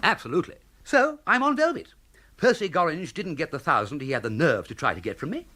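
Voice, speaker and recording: Male, idle upper-class English gent, good-natured and excitable, lightish pitch, hugely animated, period radio comedy. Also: fairly quick, exaggerated voice. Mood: gleeful. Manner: plummy, exclamatory, chatty. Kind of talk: comic period radio drama acting.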